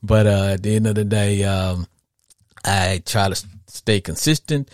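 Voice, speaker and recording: Deep-voiced Southern man hosting a podcast. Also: little pitch movement, unhurried, dry recording, studio quality. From southern United States. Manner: folksy, rambling, repeats phrases for emphasis. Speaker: podcast host. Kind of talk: casual sports talk monologue.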